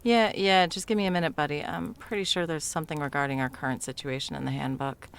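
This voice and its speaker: A woman's voice: low voice, steady tone, quick pace, clean tone. A woman playing a frazzled, sarcastic, foul-mouthed Christmas delivery elf in a whimsical comedic ASMR roleplay, muttering and often dropping to whispers. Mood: exasperated.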